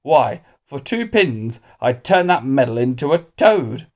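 A man voicing a mild, kindly male schoolteacher with magical powers in a children's story, gentle and measured. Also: medium pitch, big pitch swings. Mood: rueful.

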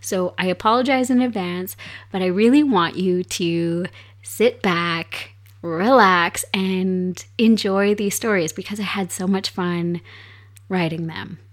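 Hoarse woman host with a cold, slow and low-pitched, soft storyteller at a home desk. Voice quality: raspy. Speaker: podcast host. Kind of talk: casual chat and storytelling. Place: home desk set up for streaming.

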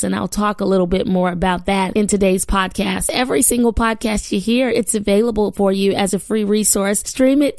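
Hoarse woman preaching a devotional podcast. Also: mid-range voice, dry recording, studio quality. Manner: warm fervent preaching and prayer. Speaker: podcast host and minister. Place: small studio.

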